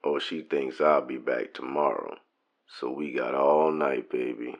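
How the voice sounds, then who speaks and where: Extremely deep, flirtatious ladies' man, acted. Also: fairly even intonation, measured pace, gravelly, full character voice. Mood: flirty, smooth. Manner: flirty, slangy. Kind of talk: audio drama acting. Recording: dull, muffled lo-fi sound.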